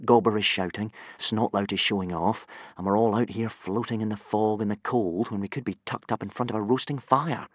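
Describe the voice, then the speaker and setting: A man's voice: lowish voice, fairly even intonation, brisk, hardly any pauses, no room sound, lightly acted. A man voicing an ordinary, polite and studious Viking boy, in a storybook reading. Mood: earnest.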